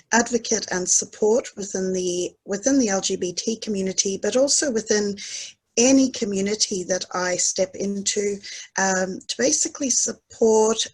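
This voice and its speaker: A woman's voice: medium pitch, breathy edge, poor audio. A woman in her forties with an Australian accent, introducing herself earnestly and at length as a panelist. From Adelaide, Australia. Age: 40s. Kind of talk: casual self-introduction. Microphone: video call.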